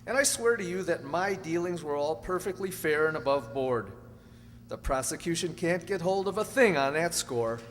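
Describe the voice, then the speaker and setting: Young husband, played by a man, pleading and emphatic radio-drama delivery, lighter pitch, faint hum behind. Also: animated, moderate pace, close to an everyday voice. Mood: defensive. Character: earnest, anxious young husband protesting his innocence. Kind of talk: amateur radio drama acting. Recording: echoey hall, faint hum.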